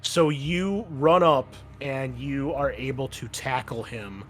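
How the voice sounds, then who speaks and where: A man's voice: fairly low pitch, fairly bright tone. Male game master, conversational tabletop narration with explanations, faint music underneath. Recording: music playing behind the voice. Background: background music.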